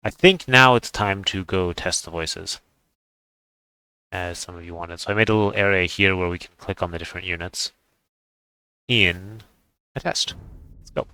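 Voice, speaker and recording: A man with a deep voice and a bright, forward tone talks through a game showcase. He is casual and unscripted, rambling a little as he thinks aloud. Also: normal speed, pauses often, clear, resonant tone, no room sound, rough recording. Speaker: gaming video creator.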